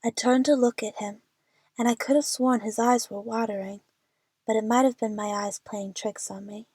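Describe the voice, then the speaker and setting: A woman's voice: on the high side, fairly even intonation, normal speed, darkish tone, slightly rough, no room sound. A woman playing an anxious, lovestruck young woman who narrates her adventure earnestly in an audio drama. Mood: tender, longing.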